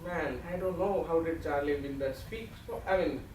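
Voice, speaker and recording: A man's voice: on the high side, normal speed. A man in his thirties with an Indian accent, giving a humorous farewell speech to a speaking club in an office meeting room. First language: not English; English is his third language. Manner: storytelling with comic timing, animated gestures. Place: large office meeting room with windows and a projection screen. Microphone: far camera, no mic. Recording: echoey room from far off, audience noise.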